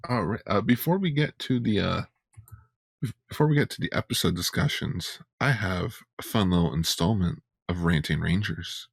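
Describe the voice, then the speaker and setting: A man's voice: deep voice, expressive, moderate pace, vocal fry, breathy edge, no room sound. A man co-hosting a podcast in casual fan discussion. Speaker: podcast co-host.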